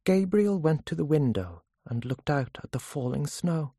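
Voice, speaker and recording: Narrator for literary fiction: measured storytelling, expressive pitch movement, slightly rough-edged tone, dry sound. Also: dry recording. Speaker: audiobook narrator.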